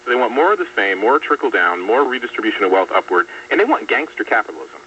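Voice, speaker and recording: A man appearing as a guest commentator on a political talk radio show, arguing in a fast, emphatic, polemical way. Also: mid-range voice, big pitch swings, sharp tone. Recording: distant, muffled radio rebroadcast with faint hum.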